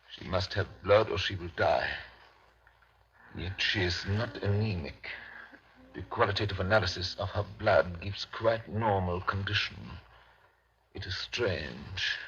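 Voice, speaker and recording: A grave, learned older doctor in radio horror. His low voice moves slowly and portentously, in halting, clipped phrases. It comes through a dull 1930s recording. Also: a bit bright, breathy edge, full character voice. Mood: grave, foreboding. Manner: halting, portentous, clipped foreign-sounding syntax. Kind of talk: 1930s radio drama acting.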